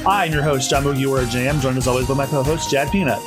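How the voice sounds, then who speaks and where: A man hosting a casual podcast, chatty and slightly hoarse, often restarting his sentences. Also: mid-range voice, fairly even intonation, racing, over music. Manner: chatty, self-correcting restarts. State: slightly hoarse after dental work. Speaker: podcast host.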